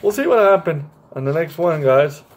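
A man's voice: fairly high pitch, lively intonation, normal speed. A young man reading a picture book aloud for children and chatting about it, often stumbling and repeating his words. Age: young adult. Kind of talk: read-aloud story time for children, with informal commentary. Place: small bright room indoors.

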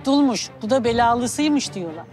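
An actress playing a disapproving, gossipy neighbour in a television drama, talking scornfully. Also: female voice, on the high side, expressive, normal speed, over music, lightly acted.

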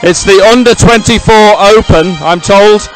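A man's voice: high voice, unhurried, faint music behind, low-quality recording. A middle-aged man presenting live outdoors at a sports event on a handheld mic, chatty and rambling, often repeating himself as he fills time. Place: outdoors by a regatta podium. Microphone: handheld microphone.

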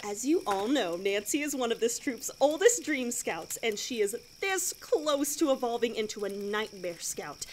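A woman's voice: on the high side, expressive, moderate pace, hardly any pauses, slight background noise, close to an everyday voice, rough recording. An actress playing a relentlessly cheery, blithely macabre female scout leader in an audio drama, chirpy and instructing. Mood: cheery, announcing.

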